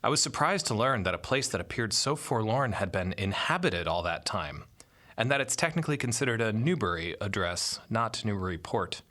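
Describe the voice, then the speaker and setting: Low, bright-voiced man reading an essay. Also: sing-song, moderate pace. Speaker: reader of a literary essay. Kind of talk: scripted literary narration, read aloud.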